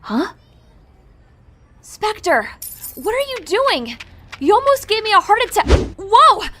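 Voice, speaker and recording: A woman's voice: very high-pitched, sing-song, slow, sharp tone, exaggerated voice. A woman playing a feisty young crime-fighting sidekick in a dramatic, sarcastic audio drama style. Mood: frantic. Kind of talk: audio drama acting with first-person narration. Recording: echoey long reverb, music underneath.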